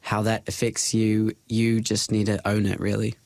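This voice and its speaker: A young man with a New Zealand accent, a podcast guest talking in a relaxed, thoughtful way with frequent fillers. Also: fairly low pitch, moderate pace, dry recording. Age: young adult. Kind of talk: casual interview chat.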